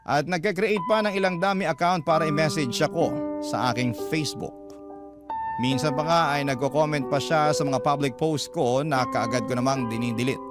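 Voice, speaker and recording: A man narrating a listener's letter in the first person, in a confiding storytelling manner. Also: fairly high pitch. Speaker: letter reader. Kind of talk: reading a listener's letter aloud as radio drama narration. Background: loud guitar music.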